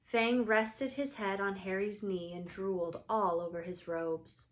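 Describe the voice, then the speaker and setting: A woman's voice: mid-range voice, fairly even intonation, unhurried. Woman reading a children's story aloud. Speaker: storybook reader.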